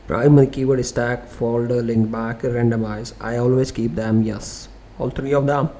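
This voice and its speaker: Man walking through SEO software. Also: medium pitch, steady tone, moderate pace, slight background noise. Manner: loose, conversational explaining with fillers and restarts. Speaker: SEO tutorial presenter. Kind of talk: screen-share software walkthrough.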